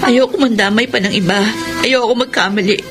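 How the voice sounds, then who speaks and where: A woman's voice: fairly high pitch, a little fast, natural voice. A woman acting a young, unwed pregnant woman in a Filipino radio soap, emotional, pleading and stubbornly self-sacrificing. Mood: anguished pleading. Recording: radio drama with music underneath.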